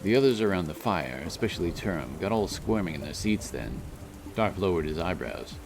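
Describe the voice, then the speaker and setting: Male fantasy audiobook narrator, very low voice, storytelling delivery over prominent music. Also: animated, brisk. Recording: loud music under the voice.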